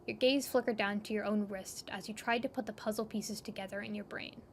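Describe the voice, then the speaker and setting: A woman's voice: medium pitch, steady tone, brisk, a little hiss or hum. A woman reading scripted second-person narration for an audio drama, over ambient sound.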